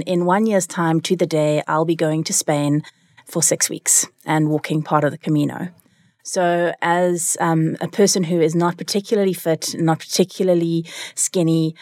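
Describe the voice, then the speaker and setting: A woman's voice: fairly low pitch, a little mellow, no room sound. A South African woman telling her own story as an interview guest, reflective and articulate. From Cape Town, South Africa. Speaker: interview guest, leadership consultant. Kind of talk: conversational personal storytelling. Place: recording studio.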